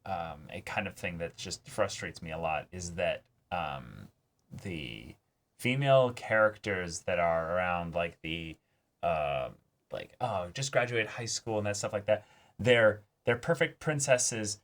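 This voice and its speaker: A man co-hosting a reaction show, talking casually and informally in a halting but emphatic way. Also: low-pitched, expressive, a bit bright.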